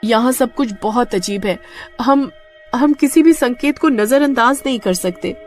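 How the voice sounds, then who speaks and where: A woman's voice: fairly high pitch, measured pace, faint music behind, voice pushed up, lightly acted. A woman playing a cautious, earnest young female adventurer in a dramatic story. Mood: wary.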